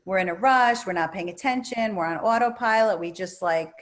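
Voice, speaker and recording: A woman therapist and author answering questions at a virtual book talk, calm and soothing, with lively emphasis. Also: medium pitch, fairly quick.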